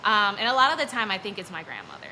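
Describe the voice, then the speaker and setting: A woman's voice: medium pitch, huge pitch swings, very fast. A young woman from New York City chatting casually as a guest, with many fillers. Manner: chatty, lots of fillers and likes. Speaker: guest, bakery employee. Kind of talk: casual chat. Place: bakery during a birthday event. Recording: noisy live event.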